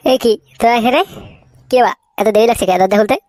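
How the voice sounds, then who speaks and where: A man voicing a brash, foul-mouthed young cartoon layabout, swaggering and slangy, with a pitch-altered voice. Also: fairly even intonation, quick pace, a little mellow. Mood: impatient, bickering. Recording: faintly noisy cartoon dub, birdsong behind.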